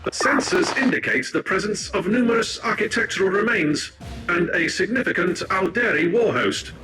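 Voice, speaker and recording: A precise technical officer from a sci-fi game. He has a high voice and a dry, formal, clinical delivery over clear background music. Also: expressive, moderate pace, low-quality recording. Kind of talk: video game cutscene voice acting.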